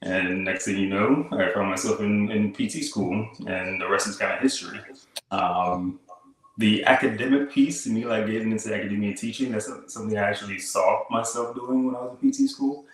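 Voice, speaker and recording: A man in his thirties from Haiti, a podcast guest telling his story in relaxed casual chat, full of "like" and "kinda" fillers. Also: fairly low pitch, fairly quick. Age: 30s. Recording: echoey room.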